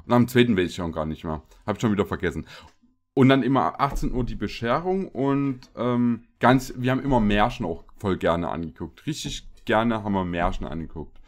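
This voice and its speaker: Young man, reaction streamer, rambling, jokey, fairly low voice. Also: expressive, fairly quick. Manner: rambling, chatty, jokey asides. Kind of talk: casual reaction commentary.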